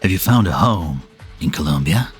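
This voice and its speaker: A very low-voiced, ancient immortal man, played by a male voice actor, weary and cryptic. His breathy, reflective delivery moves widely in pitch, with the wry air of a game drama. Music plays behind him. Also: animated, moderate pace. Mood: wistful, weary. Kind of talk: fan-dub game drama acting. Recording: background music under the voice.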